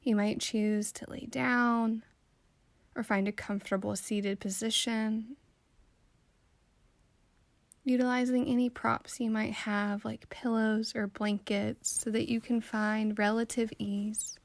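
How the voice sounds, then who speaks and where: A woman guiding a meditation in a calm, soothing, gently instructive way, with soft phrases that trail toward a whisper. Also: on the high side, slow pace, dry recording. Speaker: meditation guide. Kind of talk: slow guided meditation narration.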